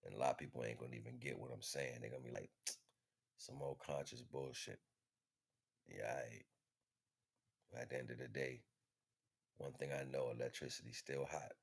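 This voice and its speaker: A man delivering an impromptu spiritual monologue in an emphatic, repetitive, slangy street-preacher manner, with a husky voice. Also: very deep voice, fairly even intonation, moderate pace, long pauses. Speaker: spiritual teacher streaming online.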